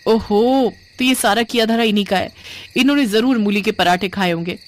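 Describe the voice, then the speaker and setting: A woman's voice: mid-range voice, normal speed, voice pushed up, natural voice. A woman voicing an irritable, complaining young newlywed in a cartoon family story, exasperated and argumentative. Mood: disgusted, grumbling. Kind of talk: animated Hindi family story dialogue.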